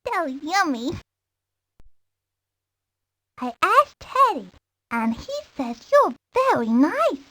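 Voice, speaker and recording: A tiny video game girl of four chatters in a very high, lively voice. She is childish, sweet and rambling, recorded dry and close. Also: slow pace, pauses often, dry recording, heavily acted. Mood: sweet, affectionate. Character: sweet, chatty four-year-old girl devoted to her teddy. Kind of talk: video game character introduction.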